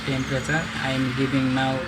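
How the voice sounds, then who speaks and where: A young man, a native Nepali speaker, talking through a phone repair in halting English. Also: medium pitch, completely flat delivery. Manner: halting non-native English, step-by-step instructions. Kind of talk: repair tutorial. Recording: loud background noise.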